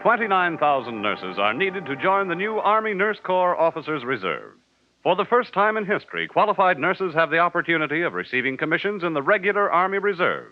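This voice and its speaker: A man's voice: a little high, big pitch swings, brisk. A man announcing for 1940s network radio in a formal, polished scripted style. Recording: muffled 1940s radio broadcast recording. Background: music.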